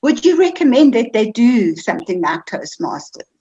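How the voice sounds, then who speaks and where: A woman taking part in a seminar, casually discussing and asking questions in a halting way, often repeating words. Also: a little high, sing-song, warm tone, slightly rough, highs cut off. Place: online video-call seminar.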